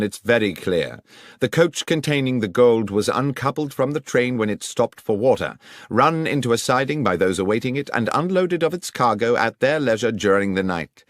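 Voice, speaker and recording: A man voicing a composed, brilliant Victorian detective in an audiobook, precise, deliberate and assertive as he questions. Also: lowish voice, no room sound, clean studio sound. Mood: confident, explanatory.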